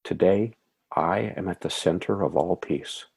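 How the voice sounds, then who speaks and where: A man leading a guided meditation in a soothing, measured way, with calm phrasing and gentle affirmations. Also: low-pitched, creaky, slightly rough.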